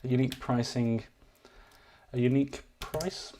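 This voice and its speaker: A man giving a live-streamed software walkthrough, rambling and self-deprecating, his voice wearing thin. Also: medium pitch, fairly even intonation, moderate pace. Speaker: software demo presenter.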